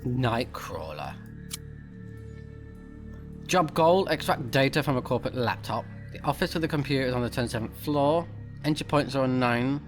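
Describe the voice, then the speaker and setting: A man's voice: mid-range voice, expressive, moderate pace, pauses often, faint music behind. A man giving casual commentary as he plays a game, reacting and reading text aloud. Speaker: let's play host.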